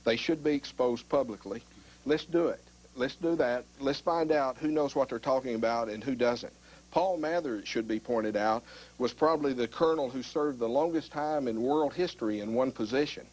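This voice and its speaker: A man's voice: medium pitch, normal speed, darkish tone, low-quality recording. A man calling in to a TV discussion, combative and insistent, repeating his demands. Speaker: caller. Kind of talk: call-in television discussion.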